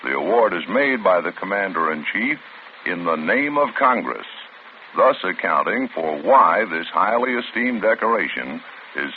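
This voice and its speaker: Dignified old-radio announcer. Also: male voice, fairly low pitch. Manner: measured, dignified reading. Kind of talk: formal public service announcement. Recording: tinny old radio broadcast with faint hiss.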